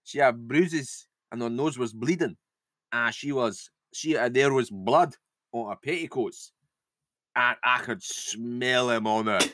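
A man voicing a frightened, agitated young Scottish maidservant in a rough-edged, drifting accent for a comic script reading. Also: mid-range voice, unhurried, fairly bright tone, close to an everyday voice. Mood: distressed, confiding. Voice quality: rough, edgy.

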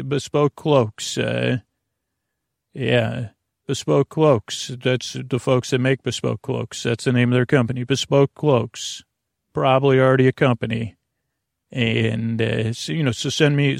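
A man hosting a sleep podcast as a bedtime storyteller, mumbling along in a halting, meandering, digressive monologue with a creaky voice. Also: medium pitch, dry recording, studio quality.

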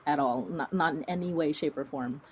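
A woman talking casually as an interview guest, in an informal, animated, rambling way with a giggly streak. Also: deep voice, a little fast, breathy edge. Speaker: podcast creator and writer being interviewed.

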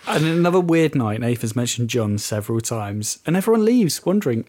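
A man's voice: medium pitch, sing-song, a little fast, a little mellow. A man hosting a radio show, rambling and playful as he introduces songs. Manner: rambling, self-interrupting, playful. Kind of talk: loose banter between music tracks.

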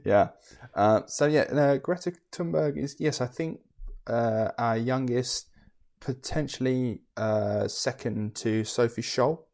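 A man's voice: mid-range voice, takes it slowly, a little mellow. A man co-hosting a podcast, chatting casually and laughing often at himself. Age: 20s. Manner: laughs often, self-deprecating. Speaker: podcast co-host. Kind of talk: casual chat.

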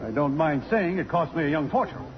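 An actor playing a pushy, self-satisfied wealthy board chairman in a radio drama, brisk and bossy. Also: on the high side, lively intonation, noisy background, close to an everyday voice. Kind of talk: 1950s radio drama acting. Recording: dull old radio broadcast, music.

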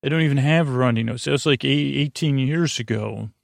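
A man's voice: mid-range voice, a little fast, vocal fry, dry recording, clean studio sound. A man hosting a podcast with a meandering, soothing bedtime monologue, stumbling and repeating himself as he goes. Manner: rambling, hesitant, stumbling over words and repeating himself; soothing, lulling delivery. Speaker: podcast host.

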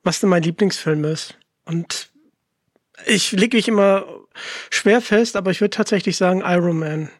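A podcast host with a medium-pitched voice, chatting casually with a guest. The sound is dry, with no room echo, and the questions come in an informal conversational style. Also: moderate pace. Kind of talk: casual interview chat.

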